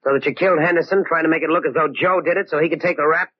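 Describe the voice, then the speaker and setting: An actor playing a shrewd, bluffing American sleuth in 1940s radio crime drama, measured and quietly needling. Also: male voice, fairly high pitch, steady tone, husky. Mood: probing. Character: shrewd male amateur sleuth, cool and bluffing. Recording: dull, lightly noisy old radio recording.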